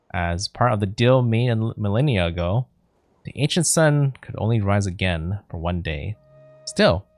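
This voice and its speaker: A man's voice: lowish voice, no room sound. A man streaming a video game, reading dialogue aloud and commenting as he plays.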